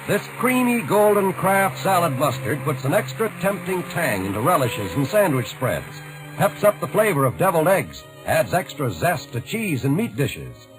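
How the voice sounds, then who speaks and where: A 1940s-style radio ad man speaks in a fairly high voice with very animated intonation, over faint music. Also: moderate pace, poor audio. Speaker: radio commercial announcer.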